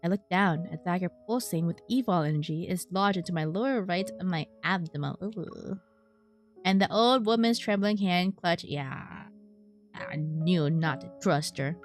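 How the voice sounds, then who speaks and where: A woman's voice: fairly low pitch, normal speed, soft background music. A woman streaming a story game, reading its text aloud expressively with casual, wry asides. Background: game soundtrack.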